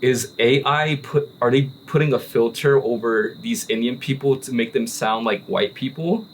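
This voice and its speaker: Animated man telling a story. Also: mid-range voice, moderate pace, a little hiss or hum. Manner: animated storyteller, peppers talk with "like" and "right?". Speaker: podcast host. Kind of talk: casual chat. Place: relaxed cigar-smoking hangout with friends.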